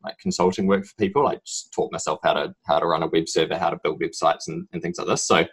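A young man from New Zealand with a strong New Zealand accent, a podcast guest telling his story in a halting, rambling way full of ums. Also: sits low, fairly even intonation, slightly rough. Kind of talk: casual interview talk.

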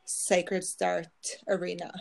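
A woman's voice: lowish voice, level tone, takes it slowly, vocal fry, rough recording. A woman in her thirties from Norway, speaking English with a Norwegian accent as a podcast guest, easygoing with light laughs. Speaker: guest, yoga teacher and psychotherapist. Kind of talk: casual interview conversation.

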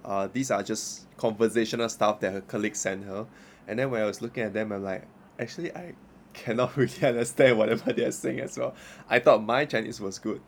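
Rambling Singaporean podcast host. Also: male voice, on the low side, brisk, vocal fry, slight background noise. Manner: rambling, filler-heavy, playful. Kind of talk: casual chat.

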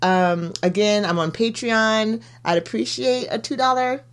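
A woman's voice: a little high, measured pace, smooth. Chatty podcast host woman. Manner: chatty, laughs often, reflective. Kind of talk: casual chat.

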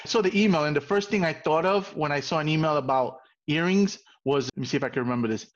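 A man's voice: fairly high pitch, quick pace, dull audio. A middle-aged man from Puerto Rico hosting a casual interview podcast, laughing often and tossing in playful asides. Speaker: podcast host.

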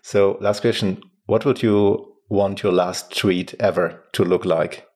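A man's voice: low-pitched, fairly even intonation, a little slow, a little mellow. A man with a German accent hosting a podcast interview, asking friendly questions. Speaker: podcast host. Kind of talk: casual interview.

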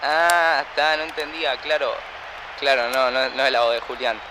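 A man's voice: mid-range voice, little pitch movement, moderate pace, close to an everyday voice. A male actor playing a teasing teenage son in an audio drama. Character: teasing teenage son gaming with his dad. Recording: noisy background ambience.

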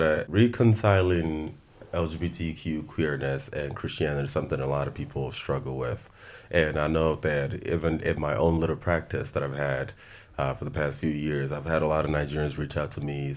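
Man, interview host, extremely low voice, probing questions, slight hesitations. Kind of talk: conversational interviewing.

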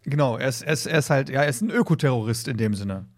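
A man's voice: medium pitch, expressive, fast. A man co-hosting a podcast, casually discussing in a rambling way, repeating words and slipping into short acted quotes. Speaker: podcast co-host. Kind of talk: casual discussion of an audio drama.

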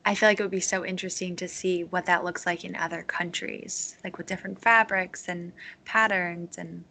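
A woman's voice: lowish voice, fairly even intonation, moderate pace, creaky, bright, forceful tone. A woman from Connecticut hosting a podcast interview and asking curious questions with frequent interjections. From Connecticut, United States. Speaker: podcast host. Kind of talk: casual interview conversation.

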